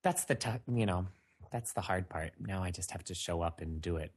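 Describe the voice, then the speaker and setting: A man with a husky, slightly breathy voice, co-hosting in an easygoing way. He is a stage performer and laughs easily. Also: sits low, a little fast. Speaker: podcast co-host and stage performer. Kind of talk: casual chat.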